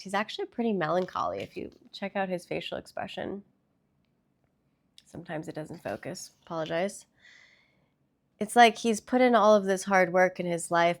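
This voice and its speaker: A young woman with a slightly low voice reads tarot cards for her viewers in a relaxed, chatty way. She sounds a little stuffy from a cold. She keeps it upbeat and easy, with small fillers along the way. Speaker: tarot reader. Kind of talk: casual tarot reading to camera.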